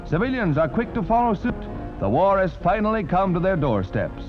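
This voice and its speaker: A man's voice: sits high, very animated, fairly quick, dull audio. An American man reading a scripted 1940s newsreel narration in a dramatic, declamatory style over a vintage soundtrack. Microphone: studio voice-over. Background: loud orchestral music.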